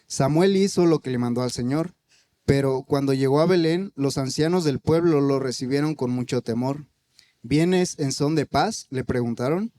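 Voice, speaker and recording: A man, the local pastor, reading a Bible passage aloud in a steady voice. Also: medium pitch, moderate pace.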